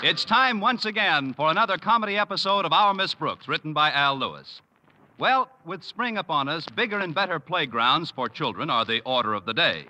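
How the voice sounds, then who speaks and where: A polished male radio announcer from the golden age of radio. His voice is very bright and slightly raised, delivered in a smooth, practiced way. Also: fairly high pitch, lively intonation, a little fast, rough recording.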